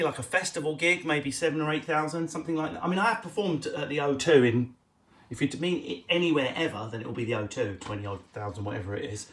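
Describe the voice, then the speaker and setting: A man with an Essex accent doing casual improvised stand-up as he answers viewers' questions on a live stream from home, cheeky and quick, with loud bursts and muttered asides. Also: on the high side. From England. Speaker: comedian hosting a live Q&A stream. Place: at home, indoors.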